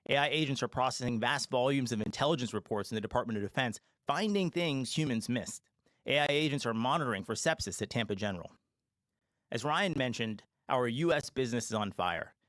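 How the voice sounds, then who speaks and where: A man's voice: mid-range voice, a bit bright, no room sound, clean studio sound. A man presenting scripted remarks as a company executive on an earnings call, emphatic and full of business jargon.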